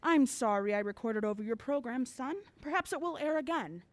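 A woman's voice: fairly high pitch, animated, a little fast, dry recording, natural voice. A woman playing a genteel, wistful middle-aged mother in an audio drama. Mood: apologetic. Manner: genteel, sighing. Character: genteel, wistful mother fussing over tea.

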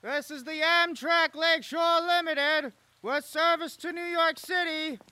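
A man playing an officious train conductor in an audio drama, calling out with drawn-out announcements. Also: extremely high pitch, fairly even intonation, pushed and bright, no room sound, exaggerated voice. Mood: announcing.

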